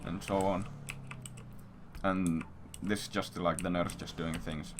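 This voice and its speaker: A man's voice: deep voice, fairly even intonation, slow. A man casually telling a rambling story to stream viewers in crude language full of swearing. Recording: noisy stream audio, keyboard sounds.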